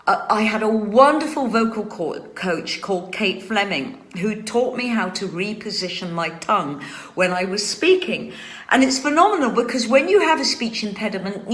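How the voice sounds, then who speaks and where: A woman's voice: medium pitch, a bit bright, roomy sound. A woman hosts a casual chat from home, answering viewer questions. She speaks with carefully trained, precise articulation. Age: 60s. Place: home room.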